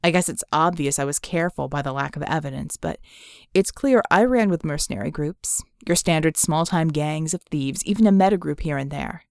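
A woman voicing a cynical, haunted male metahuman ex-thief in an audiobook, telling his past in a wry, measured way. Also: sits low, gravelly, no room sound, clean studio sound. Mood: guarded, sardonic.

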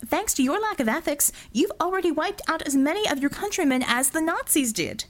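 Audiobook villain, male, played by a woman: flippant, sardonic, smugly cruel, very animated intonation. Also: sits high, fairly quick, pitched above the performer's own voice. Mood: smug taunting. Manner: sardonic, breezy, mock-genteel.

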